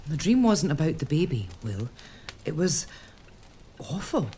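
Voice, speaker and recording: Casting: a restless young lady of the manor, low and expressive. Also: unhurried, a little hiss or hum. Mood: frightened. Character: young pregnant noblewoman, restless and uneasy. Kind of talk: period audio drama.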